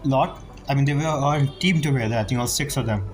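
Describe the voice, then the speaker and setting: A man's voice: medium pitch, brisk. Man casually commentating over game music. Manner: non-native phrasing, informal reactions. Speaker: game streamer.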